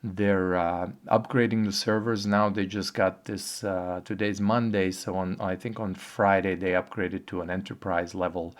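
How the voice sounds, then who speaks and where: A hoarse man giving a casual, rambling video update, with many pauses and fillers. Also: low-pitched, steady tone. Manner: halting, rambling, filler-heavy. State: hoarse from a cold. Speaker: online earnings vlogger. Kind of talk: casual talking-head update.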